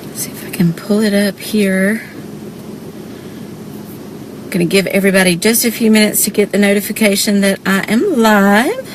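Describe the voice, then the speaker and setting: A chatty woman with a Southern drawl from deep South Georgia, talking into her phone from a parked car. She greets viewers one by one in a friendly, easygoing way and sprinkles y'all through everything. Faint background noise sits under her voice. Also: normal speed, long pauses, low-quality recording. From South Georgia, USA. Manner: chatty, warm greetings, frequent y'all, laughs often. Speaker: direct-sales presenter hosting a live stream. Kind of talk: casual livestream chat greeting viewers. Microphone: phone held or mounted in car.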